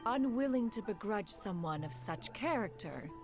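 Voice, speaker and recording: A woman acting as a proud, exacting immortal crane lady in a fantasy video game. Her voice sits at a middle pitch with a creaky edge, and she narrates in stately, archaic phrasing over prominent music. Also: lively intonation, normal speed, natural voice. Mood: measured, proud. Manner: stately, archaic, refers to self as "one". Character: proud, formal, ancient crane adeptus woman, fondly exacting. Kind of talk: scripted game voice acting, storytelling.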